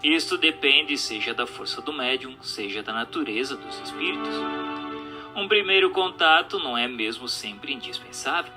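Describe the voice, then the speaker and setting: A man's voice: mid-range voice, lively intonation, moderate pace. A man reads a scripted question-and-answer text in a formal, didactic manner. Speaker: narrator reading a spiritist book. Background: music.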